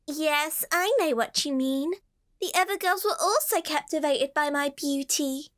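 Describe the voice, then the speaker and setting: A woman's voice: high-pitched, a little slow. A woman playing a vain, childlike talking doll in a horror radio drama, sweetly coaxing and smug.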